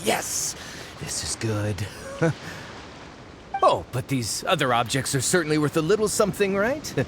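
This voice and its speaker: A man's voice: fairly high pitch, noisy background. A man voicing a greedy, pompous male archaeologist who blusters and gets defensive. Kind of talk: game voice acting. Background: game music.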